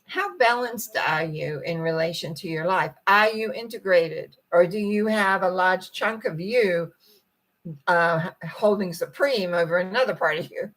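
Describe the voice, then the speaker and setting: A middle-aged woman with a croaky, husky voice gives a gentle, meandering spiritual talk and often clears her throat. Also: on the low side, unhurried, rough recording. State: croaky, rough voice. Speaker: spiritual teacher. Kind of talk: reflective talk to viewers.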